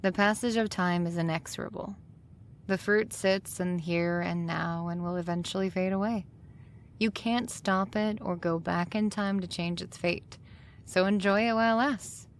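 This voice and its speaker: A woman playing a demanding, thoughtful high school art teacher in an audio drama, speaking in a deliberate, instructive way. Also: steady tone, slight background noise, lightly acted. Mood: earnest, instructive.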